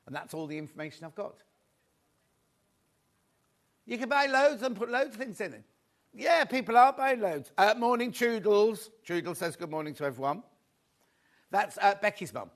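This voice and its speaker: High-voiced chatty man selling on air. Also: expressive, moderate pace, a bit bright. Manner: chatty, rambling sales patter. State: slightly croaky voice. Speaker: shopping channel presenter. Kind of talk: live TV sales chat.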